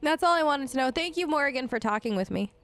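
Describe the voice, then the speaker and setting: A woman's voice: high-pitched, expressive, quick pace, fairly bright tone, dry recording. A woman improvising casual in-character chat for a role-play let's play, informal and slangy. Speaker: gamer role-playing her elf character.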